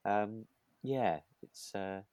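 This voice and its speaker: British man, relaxed and closely recorded. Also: on the low side, extremely slow, dry recording. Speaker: podcast co-host. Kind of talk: casual chat recapping a radio drama.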